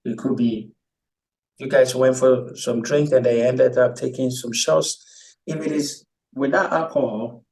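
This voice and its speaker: Man from Ghana, guest, casual storytelling, hesitant, lots of ums, middle pitch. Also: a little mellow, recorded from a distance, poor audio.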